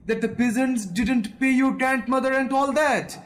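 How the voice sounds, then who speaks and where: A man's voice: very high voice, moderate pace, fairly bright tone, a little hiss or hum. A young man, a student actor playing an irascible, condescending older landowner and father in an amateur audio drama. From India. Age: young adult. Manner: blustering, condescending.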